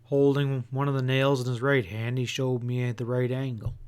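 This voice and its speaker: A man reading an audiobook as an expressive first-person narrator with a folksy storytelling manner. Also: mid-range voice, normal speed, smooth. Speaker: audiobook reader.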